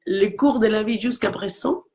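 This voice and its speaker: A woman from Mexico with a Mexican Spanish accent and a low voice, talking earnestly in a casual conversation. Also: moderate pace, darkish tone. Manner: earnest, encouraging, with frequent restarts.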